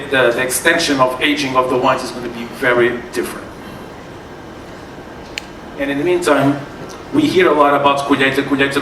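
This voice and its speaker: A man with a Portuguese accent giving a wine seminar to a live audience, speaking haltingly with many fillers and restarts. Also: medium pitch. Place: event hall with tasting tables. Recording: echoey room, far from mic, noisy.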